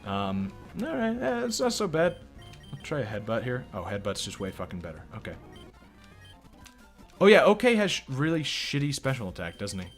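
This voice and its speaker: A man's voice: medium pitch, huge pitch swings, normal speed, soft background music. A man giving casual, profane, rambling commentary while playing a video game and reacting to chat. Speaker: let's play streamer.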